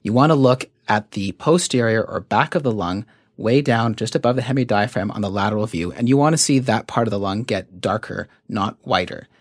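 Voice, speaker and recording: A man's voice: fairly low pitch, dry recording, clean studio sound. A man speaking as a medical expert guest, explaining in a methodical, didactic and conversational way. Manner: methodical, didactic, measured phrasing.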